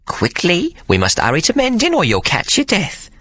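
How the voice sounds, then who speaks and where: A man's voice: high-pitched, huge pitch swings, moderate pace, a bit bright, no echo, pitched above the performer's own voice, full character voice. A man voicing a scheming woman nanny in an audiobook, cooing and teasing. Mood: solicitous, teasing. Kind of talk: audiobook character voice.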